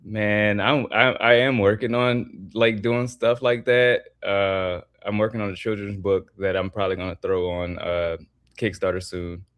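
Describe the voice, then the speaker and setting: A man with a very low voice speaks as a guest in a casual interview. He sounds easygoing and sincere, with loose, rambling phrasing. The recording is dry, with no room sound. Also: unhurried, clean tone, dry recording. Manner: relaxed, earnest, loose phrasing with "like" and "um". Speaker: guest, artist and former wrestler.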